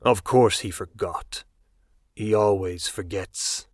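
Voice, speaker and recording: A man playing a surly, taunting robot officer with a husky voice in an audio drama. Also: lowish voice, slow, no room sound, studio quality. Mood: scornful.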